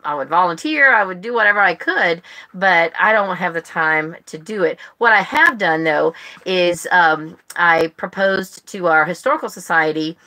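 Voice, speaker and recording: A woman's voice: fairly low pitch, moderate pace, pushed and bright. An American woman hosting a book club live stream, chatting casually in a rambling way. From United States. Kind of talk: casual chat. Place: live stream, speaking to viewers online.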